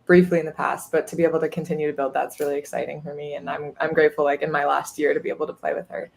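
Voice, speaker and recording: A woman's voice: deep voice, little pitch movement, rapid-fire, gravelly, darkish tone. A woman in her twenties, a college athlete, chatting upbeat in a podcast interview with many fillers. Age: 20s. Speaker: guest, college goalkeeper.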